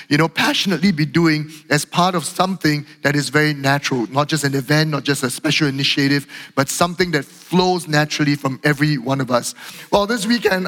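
Middle-aged male pastor, slightly hoarse, high voice, earnest sermon, long hall reverb. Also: fairly bright tone. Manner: earnest, repeats phrases, fillers and restarts. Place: church auditorium.

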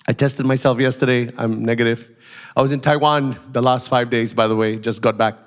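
A man preaching a sermon as pastor, a little nasal from a flu, building up to shouted, slowed-down emphasis. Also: mid-range voice, steady tone. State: has a flu.

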